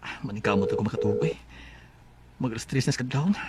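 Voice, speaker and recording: A man's voice: mid-range voice, fast, background noise, natural voice. An actor playing a devoted, homesick working-class husband and father in a Bisaya radio drama, anxious and reluctant, with a Filipino accent. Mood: uneasy, restless.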